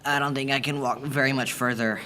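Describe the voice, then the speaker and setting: A man's voice: mid-range voice, steady tone, fairly quick, bright, forceful tone, close to an everyday voice. A man playing a weary, frightened informant confiding secrets in an old-time radio drama.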